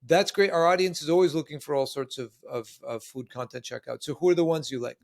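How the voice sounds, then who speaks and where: A man's voice: fairly high pitch, fast talker, creaky. A man from Canada hosting a casual podcast interview and putting questions to a guest.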